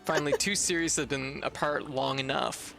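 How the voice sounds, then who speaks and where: Male streamer with a gravelly edge and middle pitch, playful jokey banter during a game. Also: fairly even intonation, moderate pace, sharp tone, faint music behind. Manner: joking, playful teasing. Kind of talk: casual chat while gaming. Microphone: streaming microphone.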